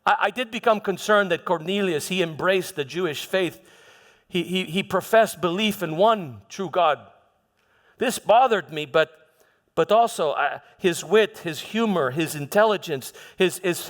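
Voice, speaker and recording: A proud, defensive Roman prefect, acted by a man in a church dramatization, recounts his deeds in a high-pitched male voice. He speaks theatrically but haltingly, doubling back on words like a soldier justifying himself. The sound rings with the long reverb of a hall. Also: animated, fairly bright tone. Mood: confiding. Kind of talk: first-person church dramatic monologue.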